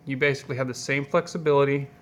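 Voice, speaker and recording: A man walking through craft materials in a casual, low-key tutorial, with a plain, even voice and a meandering delivery full of ums. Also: rapid-fire. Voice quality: flat, even voice. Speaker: hobby tutorial maker.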